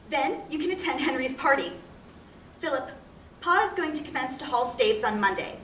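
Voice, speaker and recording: High-voiced, earnest 1860s farm wife, acted by a woman. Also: a bit bright. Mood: excited, chatty. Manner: earnest, emotive, old-fashioned rural phrasing. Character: loving, anxious 1860s farm wife, poor and plainspoken. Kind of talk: theatrical monologue of letters to a husband. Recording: roomy, distant mic with background noise.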